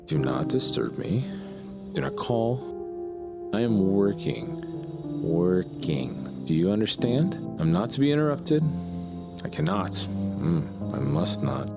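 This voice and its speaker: A slow, very deep, brooding killer. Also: male voice, sing-song, creaky, loud music behind the voice, heavily acted. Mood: intense, secretive. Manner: grandiose, deliberate, intense. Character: delusional murderous writer, brooding, menacing man. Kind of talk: dark audio drama monologue and dialogue.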